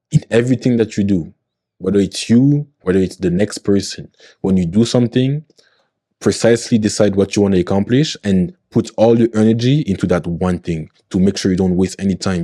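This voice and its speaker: Male guest, 20s, deep mellow voice, earnest motivational delivery, dry close sound. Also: moderate pace, no echo. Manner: earnest, motivational, often checks in with "you know what I mean". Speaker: podcast guest. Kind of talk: casual podcast conversation.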